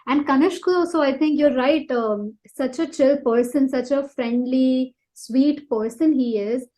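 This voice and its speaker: An Indian woman speaks in a high voice as a singer being interviewed. She chats informally and tells little anecdotes, gushing with appreciation for the people she describes. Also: measured pace, dark tone, rough recording. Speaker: singer-songwriter guest. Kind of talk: casual chat, telling anecdotes.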